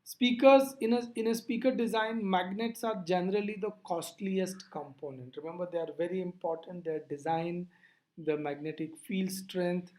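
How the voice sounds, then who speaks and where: A lecturer explaining physics over slides in a deliberate, didactic way, posing questions and then answering them. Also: medium pitch, a little mellow, poor audio.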